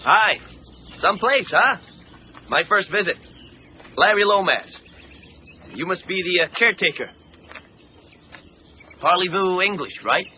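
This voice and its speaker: A brash American businessman, pitched high and swinging widely as he pushes to get his way. He talks in impatient slang, in a dull radio drama recording with faint noise. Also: animated, unhurried, pauses often. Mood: breezy, pushy. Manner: pushy, slangy, exasperated. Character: brash, impatient American accountant with a girlfriend along.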